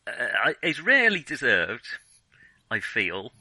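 Guy hosting a film chat podcast, really bright sharp voice, dry close sound, kinda hesitant and self-deprecating. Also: medium pitch, huge pitch swings, unhurried, husky, dry recording. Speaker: podcast host. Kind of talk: casual film discussion.

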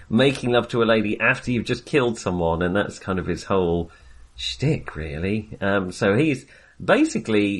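A man hosting a casual film discussion, talking in a hesitant, jokey way with wry understatement. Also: lowish voice. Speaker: film podcast host.

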